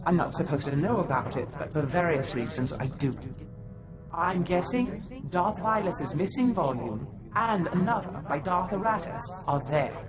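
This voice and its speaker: With prominent music behind him, a sly, scholarly male Sith played by an actor speaks in an articulate, theatrical way. His pitch swings expressively through the lines, as in a dramatic video game cutscene. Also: lively intonation, moderate pace, lightly acted. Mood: measured, sly.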